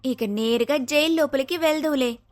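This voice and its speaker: High-voiced bold female undercover officer played by a woman. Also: normal speed, close to an everyday voice. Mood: taunting, triumphant. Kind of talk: dramatic moral-story voice acting.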